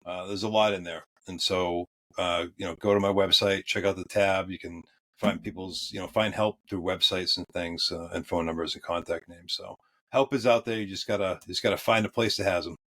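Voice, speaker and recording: A man with a thick Boston accent, a podcast guest speaking in a relaxed way with frequent fillers and small chuckles. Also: deep voice, a little fast. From New England. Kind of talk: podcast interview.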